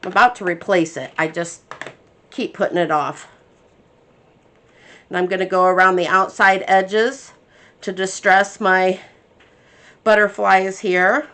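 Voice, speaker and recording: A woman's voice: mid-range voice, steady tone, unhurried, long pauses, a bit bright. Chatty woman crafting at her desk. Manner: chatty, thinks aloud while working. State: congested from sinus trouble. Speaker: crafting channel host. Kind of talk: casual crafting tutorial narration.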